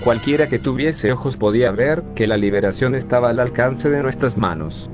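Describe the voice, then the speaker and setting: A man's voice: mid-range voice, normal speed, close to an everyday voice. A synthetic male voice voicing a professor who bitterly recalls his days as a young refugee. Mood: defiant. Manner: bitter, sarcastic storytelling. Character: urban planning professor recalling his youth. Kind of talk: scripted narration. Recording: music bed underneath.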